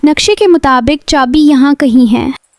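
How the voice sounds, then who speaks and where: Sensible young heroine for a cartoon adventure, voiced by a woman, high and clear-headed, recorded dry. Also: moderate pace, darkish tone, no echo. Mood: purposeful. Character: sensible, brave girl leading a treasure hunt. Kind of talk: children's cartoon story acting.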